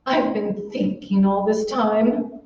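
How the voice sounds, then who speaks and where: A woman playing an imperious, shrewish wife in an old-time radio horror play, with a shrill, scornful, commanding manner. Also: fairly even intonation, slow pace, mellow, lightly acted. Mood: dread, weary. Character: imperious, shrewish wealthy wife, bossy, panicked by a ghost. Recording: muffled, very echoey, distant stage recording.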